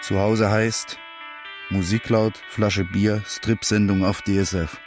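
A man's voice: fairly low pitch, little pitch movement, slow pace. An actor playing a downcast, lonely working-class man in a radio drama monologue, speaking in terse, bleak fragments. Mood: bleak, resigned. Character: downcast, lonely working-class loser pouring out his troubles. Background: prominent guitar music.

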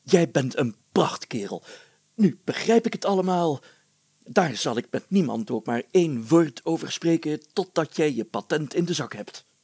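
A man's voice: on the high side, expressive, moderate pace, darkish tone, breathy edge, no echo, pitched above the performer's own voice. A man voicing a pompous, jovial village mayor who speaks emphatically and hems solemnly in a storybook reading. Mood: elated.

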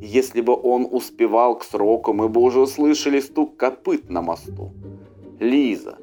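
A man's voice: medium pitch, normal speed, dark tone, faint music behind, natural voice. A man voicing a stern, impatient rich peasant father in a fairy tale, speaking firmly and emphatically.

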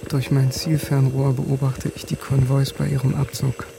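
An actor playing a cold, haunted soldier who narrates in a terse, detached, deliberate way in a radio drama. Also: male voice, medium pitch, monotone, gravelly, background noise, lightly acted. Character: cold, haunted male soldier turned lone avenger. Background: music.

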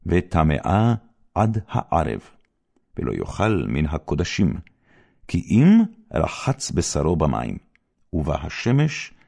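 A man reading the Bible aloud in a solemn, scripted style, with measured, deliberate phrasing and pauses. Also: deep voice, vocal fry, darkish tone. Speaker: scripture reader.

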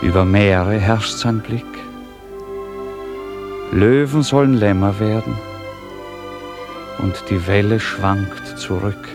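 Man, low creaky voice, solemn literary narration, recited verse, radio play, music behind. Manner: solemn, poetic, measured delivery. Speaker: reader narrating a radio drama.

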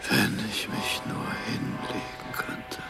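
Exhausted, creaky, whispering polar explorer. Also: male voice, medium pitch, monotone. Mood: weary, delirious. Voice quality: breathy, half-whispered. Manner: weary, halting. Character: exhausted male polar explorer drifting toward sleep. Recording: studio radio play under loud music. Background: loud music.

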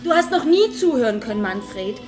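A woman's voice: a little high, big pitch swings, moderate pace, music in the background. A woman playing an anxious, reproachful wife in a radio play, with a pointed, querulous way of talking. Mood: reproachful, worried. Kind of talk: German radio play acting.

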